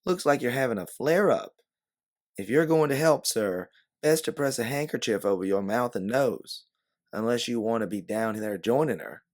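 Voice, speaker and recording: Man as sly, bossy Southern nursemaid, raised pitch, overly polite storybook heroine. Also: normal speed, close to an everyday voice. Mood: sweetly deceitful. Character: quick-witted, bossy time-travelling woman posing as Southern nursemaid.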